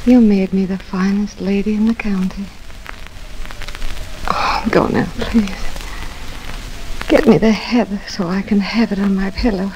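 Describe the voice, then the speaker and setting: An actress in an old radio drama playing a dying, feverish young wife, tender and yearning, speaking faintly and often near a whisper. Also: female voice, medium pitch, steady tone, a little mellow, slight background noise, natural voice, poor audio. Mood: tender, weak. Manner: slow, faint, melodramatic delivery. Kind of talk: 1940s radio drama acting.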